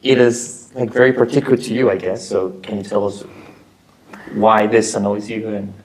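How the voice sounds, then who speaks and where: A man hosting a podcast, asking relaxed, loosely worded questions filled with "like". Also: fairly low pitch, normal speed, rough recording. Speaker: podcast host. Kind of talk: casual interview questions.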